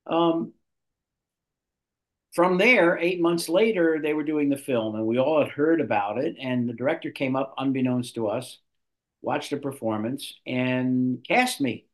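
Animated older man telling stories. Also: medium pitch, unhurried, poor audio. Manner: animated storyteller, restarts a lot. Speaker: guest actor. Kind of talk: storytelling in an interview.

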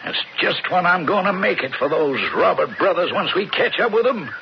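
A man's voice: high voice, lively intonation, normal speed. A man playing a bossy, vengeful posse leader with an American accent in a radio western. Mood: impatient, vengeful. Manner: emphatic, commanding. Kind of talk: radio drama acting. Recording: muffled radio broadcast, clear noise.

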